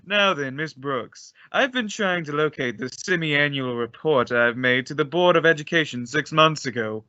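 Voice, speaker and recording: A man's voice: fairly high pitch, pushed and bright, clean tone, dry recording, highs cut off. A man playing a pompous, exasperated school principal who orders people about in an old-time radio comedy. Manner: imperious, exasperated. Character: pompous, flustered, blustering male school principal.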